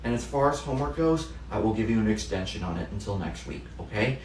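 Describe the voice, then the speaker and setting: A man's voice: fairly high pitch, lively intonation, moderate pace, a little hiss or hum. A man playing a strict schoolteacher. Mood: gentle, consoling. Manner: stern and scolding, then soft and consoling. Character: strict but caring male schoolteacher. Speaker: teacher in a classroom skit. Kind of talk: comedic classroom sketch. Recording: roomy echo, distant mic.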